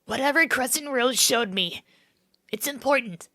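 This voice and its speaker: A woman's voice: high voice, moderate pace, sharp tone, no echo. A woman in a fan dub playing an anxious, earnest young royal with a stammering, emotional delivery. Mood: urgent, determined.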